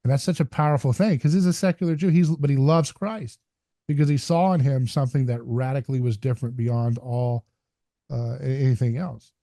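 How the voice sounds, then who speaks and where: A man's voice: mid-range voice, a little fast, mellow, no room sound, studio quality. A man hosting a conversation podcast, talking in an earnest, emphatic, somewhat preachy way. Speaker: podcast host. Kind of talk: casual conversation.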